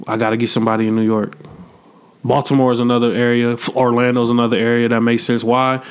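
A man's voice: mid-range voice, little pitch movement, fast talker, clear, resonant tone. A man in his twenties hosting a podcast, chatting in a blunt, slangy and reflective way. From Midwest United States. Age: 20s. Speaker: podcast host. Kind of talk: casual podcast chat.

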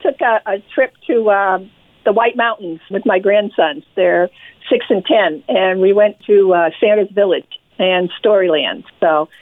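A woman's voice: mid-range voice, a little slow, no echo. An older woman with a Maine accent, a nonprofit president speaking as a guest in a casual radio interview, friendly and given to repeating words. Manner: friendly, repeats words and says "yep" often. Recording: tinny phone line, dry.

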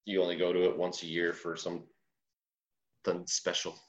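A man's voice: low voice, completely flat delivery, normal speed, highs cut off. A man in his thirties chatting casually, hedging and poking fun at himself. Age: 30s. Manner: hedging, self-deprecating, easygoing. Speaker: guest. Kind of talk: casual chat.

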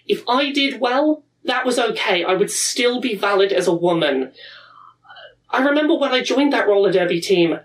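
A woman in her thirties speaks earnestly and reflectively, pausing and restarting as she recalls personal moments. Her voice sits at a middling pitch and sounds set back from the microphone. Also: recorded from a distance, low-quality recording. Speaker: speaker at a pride event.

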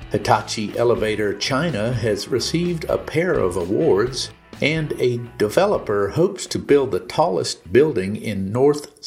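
A man's voice: mid-range voice, a little mellow. A man presenting a scripted industry news update on a podcast.

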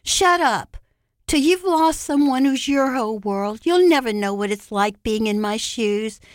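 A reader performs a distraught, drunken woman from a story, defiant and emphatic. Her raised voice runs high, and the sound is dry. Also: lively intonation, moderate pace, a little mellow, no echo, close to an everyday voice.

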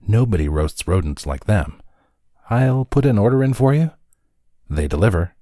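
A man's voice: on the low side, big pitch swings, normal speed, mellow, no echo, studio quality, pitched above the performer's own voice. A man voicing a weary, anxious delivery driver who is polite and eager to please.

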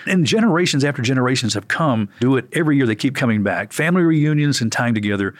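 A man's voice: mid-range voice, lively intonation, fast talker, dry recording. Male radio host, close dry sound. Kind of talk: friendly broadcast interview chat.

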